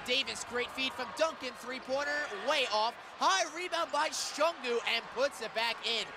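A young man doing live radio play-by-play of a basketball game in short, punchy, excitable phrases that can rise to shouts. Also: high voice. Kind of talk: live sports commentary. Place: courtside in a college basketball arena. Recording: loud arena crowd and court noise. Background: crowd, bouncing basketball.

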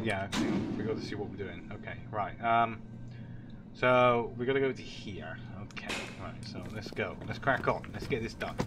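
A man with a congested, cold-stuffed voice giving casual, rambling gameplay commentary with some swearing. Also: on the low side. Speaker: gaming channel host. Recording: noisy track with game ambience. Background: video game ambience.

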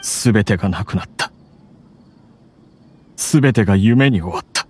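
A man's voice: lowish voice, moderate pace, a little mellow, slightly rough. Dramatic, proud, despairing male scholar. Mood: bitter despair. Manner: dramatic, bitter, theatrical. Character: despairing, proud scholar man grieving lost love. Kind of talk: anime game voice acting. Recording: music under the voice.

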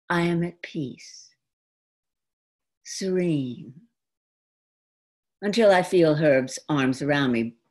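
Older woman, lower-pitched voice, very unhurried pacing, expressive theatrical storytelling, deliberate dramatic delivery. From New York, United States. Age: older, around 70. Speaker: stage actress performing an autobiographical monologue. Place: indoor room at home, before a folding screen. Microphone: computer video call microphone.

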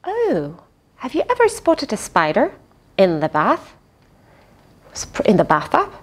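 A middle-aged woman reads to young children in a high voice. Her intonation swings widely and she takes her time, pausing to question and explain like a teacher. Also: huge pitch swings. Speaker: children's storyteller, host. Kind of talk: animated picture-book read-aloud with asides to children. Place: small indoor fabric tent with cushions.

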